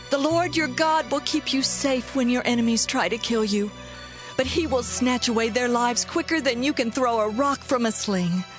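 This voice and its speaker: A woman playing a humble, earnest biblical wife who pleads respectfully and solemnly in a dramatized audio Bible. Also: high-pitched.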